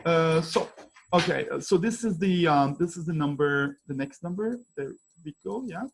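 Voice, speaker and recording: Husky Turkish-accented man giving a talk. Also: fairly high pitch, animated. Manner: halting, many fillers and apologies. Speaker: conference presenter. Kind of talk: online academic presentation.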